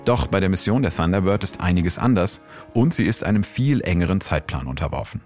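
A man hosting and narrating scripted science documentary segments in a measured, explanatory manner. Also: deep voice, animated, darkish tone. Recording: clear music bed behind.

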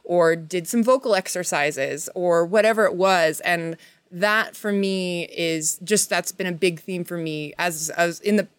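A woman's voice: lowish voice, a little slow, smooth, no echo, clean studio sound. A woman in her thirties talking casually and reflectively, earnest and introspective as a panelist. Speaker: actor, podcast panelist.

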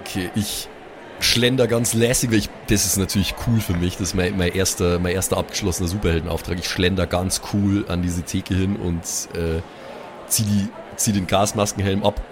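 A man's voice: deep voice, steady tone, normal speed, noisy background. A man improvising casually as a player in a tabletop role-play, using slang and English loanwords. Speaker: player in an improvised pen-and-paper audio play.

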